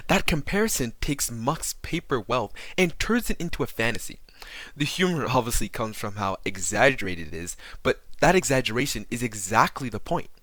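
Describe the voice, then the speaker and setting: A man's voice: mid-range voice, fairly bright tone, no room sound. A man co-hosting a podcast in a scripted, explanatory style, speaking articulately as he analyses. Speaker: podcast co-host.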